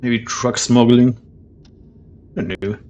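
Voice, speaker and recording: A man's voice: medium pitch, fairly even intonation, slow, a little mellow, lo-fi sound, slight background noise. A man hosting a let's play, giving casual commentary on the game as he plays.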